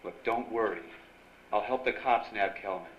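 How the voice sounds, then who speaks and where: An actor playing an eager young reporter in a period Hollywood radio drama, speaking in casual wisecracking slang. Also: male voice, fairly low pitch, normal speed, a little hiss or hum, lightly acted. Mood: probing. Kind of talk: radio drama acting with narration. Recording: dull lo-fi live radio-play recording, music behind.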